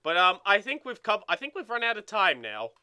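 A man co-hosting a let's play with casual, animated, sweary gaming commentary. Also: high-pitched, fairly quick, smooth.

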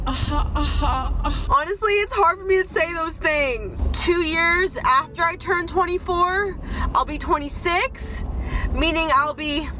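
High-voiced young woman ranting in a car. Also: measured pace, a little hiss or hum, rough recording. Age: 20s. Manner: animated, swearing, rants to camera. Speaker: vlogger. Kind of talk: casual vlog rant. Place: inside a car at night.

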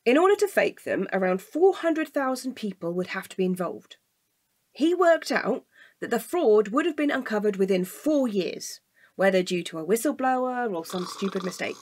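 An actress playing a pedantic, logical, wearily patient woman with a precise, deadpan manner in a comedic audio drama. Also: medium pitch, normal speed. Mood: lecturing.

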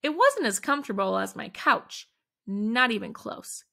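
A woman reading a picture book aloud to children, at an unhurried, deliberate pace. Her voice sits a little high and sounds bright, with a gravelly edge. She leans into the big moments with animated, dramatic emphasis. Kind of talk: expressive read-aloud storytelling.

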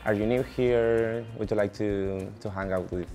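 A man's voice: on the low side, steady tone, moderate pace, mellow, soft background music. A young man from Spain, chatting in a friendly way about student life. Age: young adult. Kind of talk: casual interview answers. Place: residence common room, indoors.

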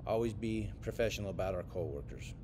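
An American man giving scripted training narration as an instructor for sports officials, in a measured, instructional way. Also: expressive. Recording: clear rumbling background noise.

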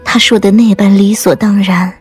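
A woman's voice: mid-range voice, level tone, normal speed, faint music behind. A woman giving a scripted, dramatic reading of an audiobook. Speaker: audiobook performer.

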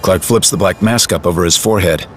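A man's voice: sits low, quick pace, slight background noise. A man narrating an audio drama in a dramatic scripted style.

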